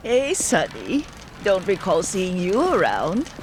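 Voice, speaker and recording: A woman's voice: lowish voice, huge pitch swings, takes it slowly, slight background noise, full character voice. An actress playing a cheerful, confused elderly woman in a care home, talking in a vague, doddering way. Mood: pleasantly muddled. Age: older. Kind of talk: English game dub acting.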